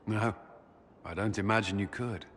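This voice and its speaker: A righteous, brooding military commander in a fantasy game , acted by a man. His voice is deep and solemn, devout and held back with grave intensity. Faint ambience sits behind him. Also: moderate pace, a bit bright, slight background noise, close to an everyday voice. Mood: reflective. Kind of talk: dramatic video game dialogue.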